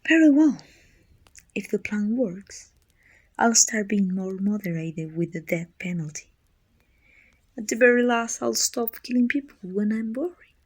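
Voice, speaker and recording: A woman playing a dominant, haughty evil queen with a soft spot, musing imperiously in an ASMR roleplay. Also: medium pitch, expressive, measured pace, pauses often. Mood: relieved, grudgingly fond. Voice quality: husky, breathy with whispered edges. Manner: imperious, musing, teasing condescension. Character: dominant, haughty evil queen softening toward her little helper.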